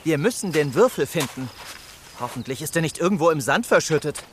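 Man playing an eager high-voiced young adventurer. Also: animated, brisk, slight background noise, lightly acted. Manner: eager, wordy alliteration. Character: eager young male toy adventurer fond of alliteration. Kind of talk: children's audio drama acting.

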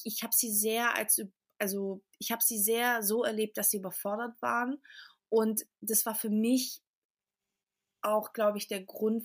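A woman from Portugal, a podcast interview guest, telling her personal story in a reflective way with many pauses and restarts. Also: medium pitch.

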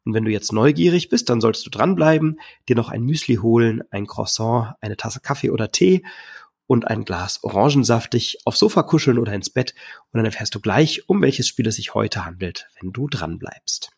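A man hosting a board game podcast, talking in a chatty, enthusiastic way, hoarse and stuffy from a cold. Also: mid-range voice, expressive, normal speed. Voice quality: husky, gravelly. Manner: chatty, enthusiastic, some filler words. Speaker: podcast host. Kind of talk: casual solo podcast talk.

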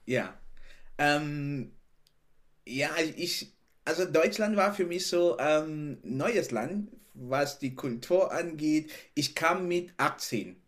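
A man's voice: on the high side, takes it slowly. Man, laughing often. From Cameroon. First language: not German. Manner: animated, laughs often, repeats "genau" and "ne". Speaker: podcast guest. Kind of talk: casual interview chat.

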